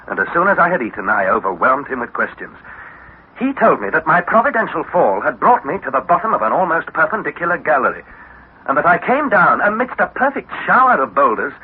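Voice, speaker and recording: An actor playing an eager young English nephew, narrating and speaking dramatically in the style of 1960s radio adventure. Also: male voice, a little high, husky, exaggerated voice. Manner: dramatic, articulate, excitable. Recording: tinny, noisy vintage radio recording.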